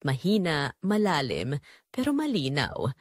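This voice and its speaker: A woman performs a dying mother who has suffered quietly for years, narrating an audio drama. Her delivery is sorrowful and pleading, with lively rises and falls in pitch. The sound is dry and free of room echo. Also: measured pace, heavily acted. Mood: resigned. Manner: solemn, sorrowful, pleading storytelling.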